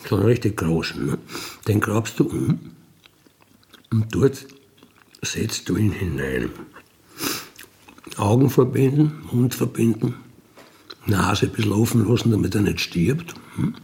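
Gravelly man, blunt storyteller. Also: fairly low pitch, expressive, a little slow, long pauses, a little mellow. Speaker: ex-convict telling his experiences. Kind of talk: colloquial spoken monologue, recounting.

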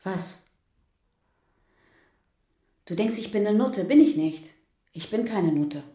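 An actress playing a self-assured female escort in a radio drama, talking low and breathy. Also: expressive, slow, mellow. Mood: defiant. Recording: roomy studio reverb.

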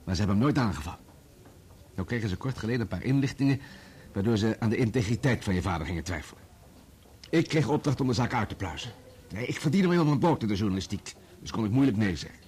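A man plays a self-excusing journalist in a radio drama. His voice is fairly low, and he reasons in a placating, evasive way. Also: a little mellow, lightly acted. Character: evasive, self-excusing male journalist, caught betraying friends. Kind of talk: Dutch radio play acting.

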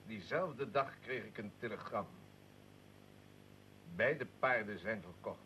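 An actor playing a blunt yet deferential conspirator officer in a radio drama, formal and quick to apologise. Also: male voice, on the low side, expressive, pushed and bright, a little hiss or hum, heavily acted, poor audio. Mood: apologetic, dry.

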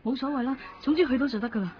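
A woman's voice: high voice, very fast, close to an everyday voice. A woman acting an eager, insistent traveller in a radio drama. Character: eager female traveller pleading for a plane seat. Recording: dull radio recording, faint noise.

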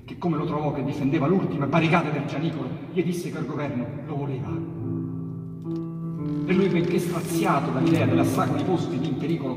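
Dramatic Roman reciter in an echoey hall. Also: male voice, a little high. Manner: dramatic, with large swings in loudness. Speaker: reciter of dialect sonnets. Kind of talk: theatrical verse recitation with piano. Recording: echoey hall, distant mic, piano.

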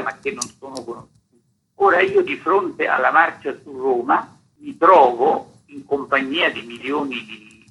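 An older man with a very raspy, gravelly voice, interviewed as an author. He explains things like a storyteller, emphatic and didactic, repeating phrases to drive each point home. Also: medium pitch, pushed and bright, low-quality recording. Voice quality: husky, rough, gravelly. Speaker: author being interviewed about a history book.

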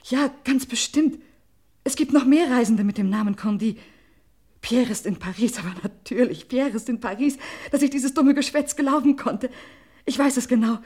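An actress playing a distraught, suspicious wife in an old radio crime drama, in a breathy, husky voice and short, broken theatrical phrases. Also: female voice, fairly high pitch, normal speed, darkish tone, close to an everyday voice. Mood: defiant denial. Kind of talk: mid-century German radio crime drama acting.

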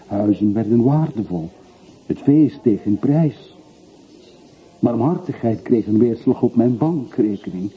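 A man's voice: medium pitch, lively intonation, unhurried, breathy edge. A man acting in a radio play as a wistful, well-off middle-aged man who speaks in short, brooding, confessional sentences. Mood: wry, resigned. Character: wistful middle-aged man of forty-three, wealthy returned emigrant. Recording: muffled phone-like old radio recording, hissy.

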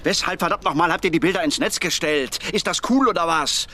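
An actor playing a worried father who pleads with his son and quickly flares up. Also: a little high, pushed and bright. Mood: urgent. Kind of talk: radio play acting.

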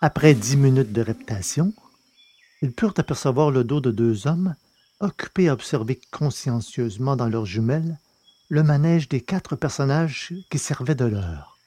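Male audiobook narrator, mid-pitched, measured and steady, podcast novel reading. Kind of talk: scripted narration of a science-fiction novel.

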